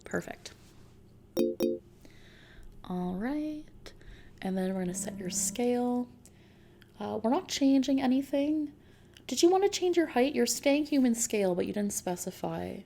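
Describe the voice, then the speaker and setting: A woman playing a friendly, reassuring technician at a futuristic customization service in a soft-spoken, casual ASMR roleplay. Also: fairly high pitch, expressive, slight background noise, pitched above the performer's own voice. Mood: gentle, reassuring. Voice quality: soft, creaky. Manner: gentle, reassuring, casual, unhurried.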